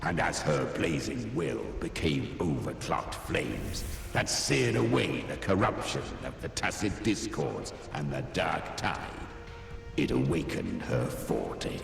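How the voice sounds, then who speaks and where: An extremely low male voice for an ominous game warrior, heavily gravelled and solemn. He speaks slowly and weightily, with his pitch moving widely. It booms from a distance in a long cavernous reverb. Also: big pitch swings, soft background music, heavily acted. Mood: grave. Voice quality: deep, gravelly. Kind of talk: dramatic fantasy game acting.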